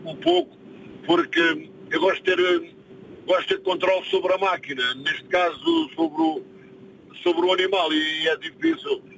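A man's voice: on the high side, creaky, fairly bright tone, a little hiss or hum, poor audio. A man from Portugal with a European Portuguese accent, speaking as a guest in a casual radio chat. He is hesitant and easygoing and often repeats his words.

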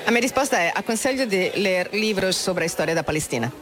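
A woman's voice: medium pitch, lively intonation, quick pace, fairly bright tone. A woman whose first language is Italian, speaking with an Italian accent and giving brief, hesitant answers to a street interviewer at an outdoor book fair. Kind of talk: street vox pop answers.

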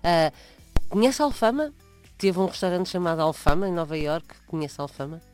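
Male host, higher register, steady interviewer. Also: a little fast, clean tone, soft background music. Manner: guiding, summarizing questions. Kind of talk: broadcast interview.

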